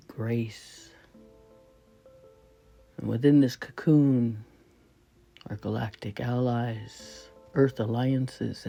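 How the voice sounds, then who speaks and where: Very mellow dark tone, woman, hushed and breathy, reverent meditation guide, short measured phrases, music underneath. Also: extremely low pitch. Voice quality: soft, breathy, often falling to a whisper. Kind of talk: slow spoken guided meditation.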